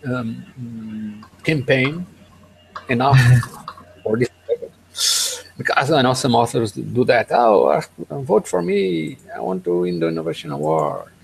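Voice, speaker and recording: A man co-hosting a casual tech podcast over an online call, speaking in a hesitant, halting way. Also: medium pitch, sing-song, gravelly, slight background noise, poor audio. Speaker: podcast co-host. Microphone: online video hangout.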